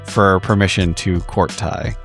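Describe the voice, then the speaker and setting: A man chatting casually as a player on a tabletop game podcast, with a deadpan manner. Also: deep voice, unhurried, soft background music.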